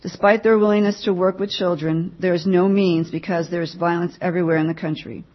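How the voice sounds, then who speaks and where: A woman giving a mission update at church, reading a written report aloud to the congregation in a plain, measured way. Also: on the low side, fairly even intonation, muffled recording.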